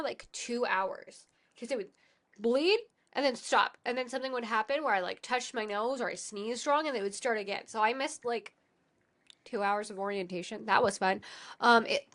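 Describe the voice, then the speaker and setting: A woman's voice: fairly high pitch, fairly bright tone. Congested young woman telling a story. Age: young adult. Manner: rambling, self-correcting storyteller. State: congested, sniffly. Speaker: podcast co-host. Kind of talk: casual chat, storytelling.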